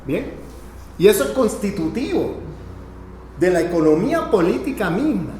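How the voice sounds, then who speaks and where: High-voiced male lecturer in an echoey room. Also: sing-song, normal speed, dark tone. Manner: didactic, emphatic, punctuates points with check-in tag questions. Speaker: lecturer teaching a class. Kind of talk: improvised academic lecture. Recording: very echoey room, faint noise.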